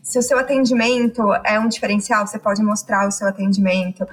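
A woman's voice: medium pitch, little pitch movement, a little fast, breathy edge, rough recording. A woman with a São Paulo Brazilian accent, explaining and telling stories conversationally in an enthusiastic way.

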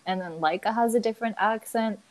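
Young filipina woman w american-sounding accent, chatty podcast cohost, laughs a lot, trails off quiet. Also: medium pitch, fairly quick. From Philippines. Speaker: podcast co-host. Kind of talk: casual chat.

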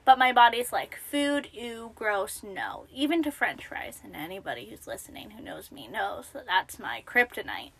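A young woman co-hosting a podcast, chatting casually with a naturally high voice and a self-deprecating manner, sometimes dropping to a whisper. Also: big pitch swings, normal speed, few pauses, a bit bright. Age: young adult. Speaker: podcast co-host. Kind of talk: casual chat.

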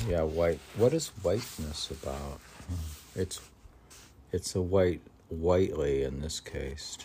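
A man hosting a poetry podcast, reading verse and musing aloud in halting, questioning asides as if talking to himself. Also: very deep voice, mellow, a little hiss or hum. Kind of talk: poetry reading with rambling casual commentary.

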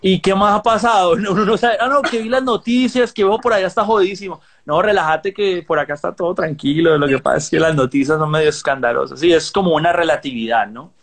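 Chatty, higher-voiced male podcast host. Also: fast talker, hardly any pauses, fairly bright tone. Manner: chatty, joking, playful. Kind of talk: casual interview chat. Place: Los Angeles.

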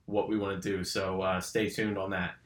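A man's voice: deep voice, level tone, moderate pace, echoey room. A young man co-hosting a true crime podcast, talking casually and hesitantly with lots of ums. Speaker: podcast co-host.

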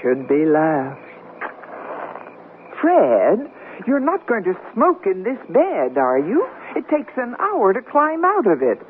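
Wisecracking middle-aged wife, very animated delivery, old radio play, thin telephone-like sound. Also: female voice, mid-range voice, takes it slowly. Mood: wry, bantering. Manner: dry, wisecracking. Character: wry, outspoken newlywed middle-aged woman. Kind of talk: 1970s radio comedy-drama acting. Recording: noisy, narrow-band 1970s radio recording.

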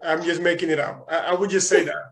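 A man from Spain, telling lively stories as a guest in a casual interview. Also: fairly high pitch, fast, gravelly. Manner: animated storytelling, frequent restarts and laughs.

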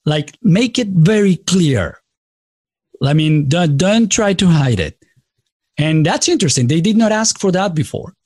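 Animated higher-voiced man with Latin American accent. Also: measured pace, dry recording, studio quality. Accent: Latin American Spanish. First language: Spanish. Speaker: podcast host and translator. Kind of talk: casual conversational interview.

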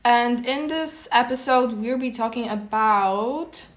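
A woman's voice: fairly high pitch, slow. Polish teenage girl, hesitant and echoey. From Poland. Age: teens. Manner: hesitant, many fillers and restarts. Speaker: podcast co-host. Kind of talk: casual chat. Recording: echoey room.

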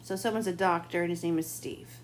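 Lower-pitched woman, rambling tarot talk. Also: fairly even intonation, a little fast, a little hiss or hum. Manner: rambling, confiding. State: raspy. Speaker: tarot reader. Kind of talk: late-night spiritual monologue.

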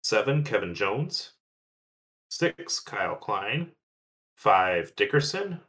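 A man's voice: on the low side, big pitch swings, takes it slowly, pushed and bright. A man co-hosting a podcast, chatting casually and running through lists with a joking manner. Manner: joking, runs the show's segments. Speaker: podcast co-host. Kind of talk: casual chat.

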